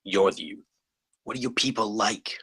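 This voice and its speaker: A man's voice: on the low side, normal speed, fairly bright tone, husky. A man playing a grumbling, frustrated guy at a comedy script table read. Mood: exasperated.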